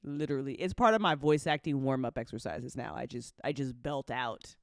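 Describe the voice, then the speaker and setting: A woman hosting a casual livestream, effusive and excitable, repeating phrases and swearing freely. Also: rumbling low, brisk, vocal fry, dry recording, studio quality. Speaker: host and voice actor.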